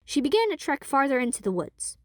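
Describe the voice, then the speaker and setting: Brief: young female narrator, lively and animated, dry close sound. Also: high voice, fairly quick, no room sound. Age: young adult. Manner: expressive, lively storytelling. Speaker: narrator and voice actor. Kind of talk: audio drama narration.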